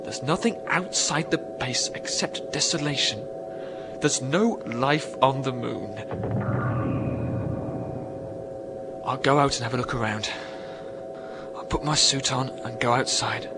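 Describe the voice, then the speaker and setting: An actor playing a lonely, stranded astronaut fraying on a moon base in a radio drama, emphatic and agitated. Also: male voice, mid-range voice, a little slow, background noise, natural voice. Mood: resolute, anxious. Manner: emphatic, self-addressing, agitated.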